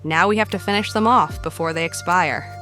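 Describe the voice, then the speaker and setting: A woman's voice: fairly low pitch, a little fast, pushed and bright. A woman playing a teasing yet protective young mother in an audiobook. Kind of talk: audiobook character dialogue. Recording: music behind the voice.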